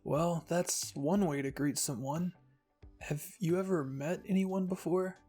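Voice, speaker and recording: Narrator doing cocky nosy teen boy, teasing, blunt, bit raspy, story reading w music. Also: mid-range voice, fairly even intonation, measured pace, warm tone. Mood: blunt, curious. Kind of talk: fan fiction character reading. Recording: music behind the voice.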